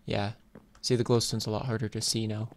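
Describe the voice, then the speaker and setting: Low-pitched man doing casual gameplay commentary. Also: little pitch movement, brisk. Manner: thinking aloud, informal. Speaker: host of a gaming video series. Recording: clear background noise, keyboard clicks. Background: computer keyboard and clicking.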